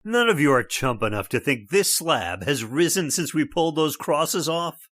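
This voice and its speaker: A man's voice: a little high, very animated, normal speed, no room sound, studio quality. An actor playing a stubborn, scoffing middle-aged father with a brash manner, in old-time radio drama style. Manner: brash, scoffing, loud laughs.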